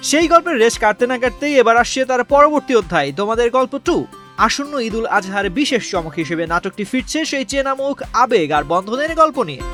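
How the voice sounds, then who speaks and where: A man's voice: very high-pitched, very animated, fast, fairly bright tone, soft background music. A man with a Bangladeshi accent reading scripted entertainment news as a narrator. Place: voice-over over background music.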